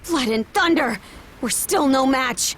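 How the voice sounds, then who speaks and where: A woman's voice: sits high, animated, measured pace, a bit bright, a little hiss or hum. A woman playing a bold, commanding young seafaring warrior in a video game. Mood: urgent, commanding. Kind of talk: scripted video game voice acting.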